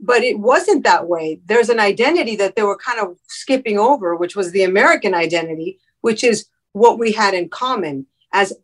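Actress from Miami, mid-pitched woman, earnest and explanatory in conversation. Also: lively intonation, moderate pace, few pauses. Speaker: guest actress. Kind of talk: conversational interview.

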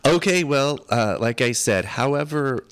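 A man's voice: medium pitch, lively intonation, unhurried, smooth, dry recording. An American man hosting an interview podcast in a conversational style. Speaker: podcast host.

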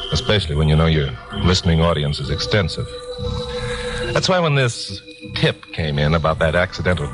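A deep-voiced American newscaster sleuth, from a 1950s radio drama. He is unflappable and dryly teasing. Music plays clearly behind him on the old broadcast recording. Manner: wry, teasing, easygoing.

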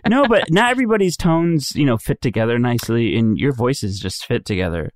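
A man's voice: mid-range voice, expressive, a little fast, dry recording, studio quality. Upbeat man on a podcast. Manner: upbeat, encouraging, laughs easily. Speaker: podcast co-host. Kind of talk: casual rewatch podcast chat.

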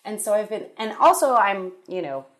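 A woman's voice: medium pitch, big pitch swings, moderate pace. A woman in her thirties, a podcast guest chatting casually, rambling and correcting herself as she tells a story. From United States.

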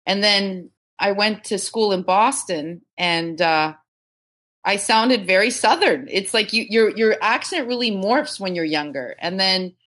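Woman, 50s, from Canada, first language Serbian, podcast guest, chatty, laughs often, bright voice, video call. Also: mid-range voice, measured pace. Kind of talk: casual interview chat.

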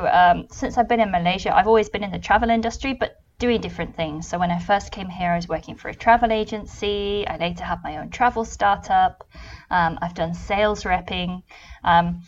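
A British woman from the UK, a travel consultant answering interview questions thoughtfully with many pauses and restarts. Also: lowish voice, muffled recording.

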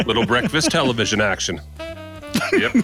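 A man's voice: mid-range voice, wildly swooping pitch, moderate pace, music in the background. A man co-hosting a podcast, telling a story in casual chat, laughing and acting out the quotes. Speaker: podcast co-host.

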